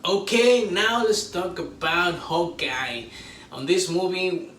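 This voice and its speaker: A man hosting a casual, unscripted movie review, talking like an excitable fan with drawn-out exclamations. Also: sits high, distant mic. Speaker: host of a movie review show. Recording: roomy echo, off-mic, faint background noise.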